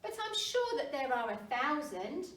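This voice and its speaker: A woman's voice: high voice, very animated, unhurried, a bit bright, exaggerated voice. A woman voicing a friendly, thoughtful young seal cub in a children's storybook, speaking emphatically. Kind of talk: children's storybook read aloud. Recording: roomy, distant mic, faint noise.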